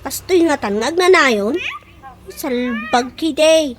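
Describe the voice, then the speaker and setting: A woman's voice: sits high, lively intonation, slow, a little hiss or hum. A woman with an Ilocano accent playing an exasperated boarding-house resident who grumbles about a freeloading friend in a comedic radio drama. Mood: annoyed, ranting. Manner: grumbling, complaining, exclamatory.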